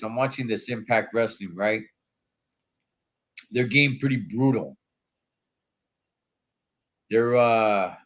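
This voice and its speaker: A man with a stuffy nose from allergies, hosting a casual wrestling livestream from a hotel room in laid-back slangy talk. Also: fairly low pitch. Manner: laid-back slangy talk, calls viewers dog and Holmes.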